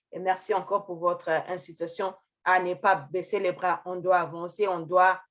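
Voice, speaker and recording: A woman moderating an online meeting over a video call, speaking with many pauses and fillers as she thanks speakers and passes the floor. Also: mid-range voice, a bit bright.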